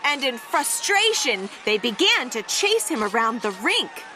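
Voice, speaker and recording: High-voiced, very animated woman reading a storybook. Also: a little slow, noisy background. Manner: expressive, dramatic, playful emphasis on words. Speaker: read-aloud storyteller. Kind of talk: animated children's picture book reading.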